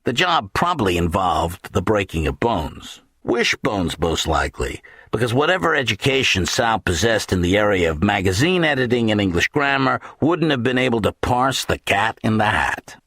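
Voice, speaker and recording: Deep husky male audiobook narrator. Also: very animated, normal speed, few pauses, a bit bright, dry recording. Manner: wry, dramatic delivery. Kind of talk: scripted first-person comic novel narration.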